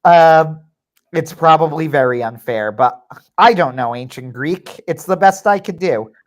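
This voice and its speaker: A man's voice: a little high, unhurried. Wry, opinionated male livestream host. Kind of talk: casual livestream chat.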